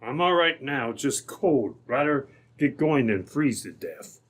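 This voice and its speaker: A man playing a surly, stubborn outlaw prisoner in an old-time radio adventure, gruff and defiant. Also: medium pitch, lively intonation, unhurried, fairly bright tone. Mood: grudging. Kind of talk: re-created old-time radio drama acting.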